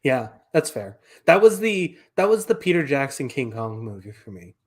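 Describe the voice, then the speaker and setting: A man in his twenties co-hosting a casual podcast, giving relaxed, dry opinions. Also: medium pitch, normal speed. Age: 20s. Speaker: podcast co-host.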